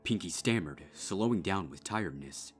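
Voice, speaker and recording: Casting: male storyteller with a profoundly deep voice for scripted horror narration over soft music. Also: animated, moderate pace, slightly rough. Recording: music behind.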